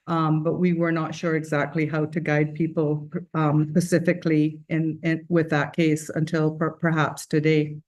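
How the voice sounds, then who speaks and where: A woman's voice: low-pitched, a little mellow. Earnest rambling woman on a panel. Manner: earnest, rambling with many fillers and restarts. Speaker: charity founder speaking on a panel. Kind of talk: casual panel discussion.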